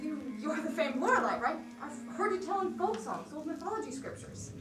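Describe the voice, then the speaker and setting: Young woman playing a lovestruck pirate captain, high-voiced. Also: expressive, brisk, over loud music. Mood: pleading. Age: young adult. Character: lovestruck, swaggering female pirate captain, earnest suitor. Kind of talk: theatrical stage acting in mock-archaic speech. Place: school theater stage, recorded from far off. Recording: echoey school stage, distant microphone.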